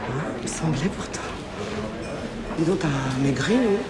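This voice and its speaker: A chatty surprised acquaintance. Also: female voice, low voice, animated, moderate pace, echoey room, over a noisy crowd. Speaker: character in a feature film. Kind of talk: film acting.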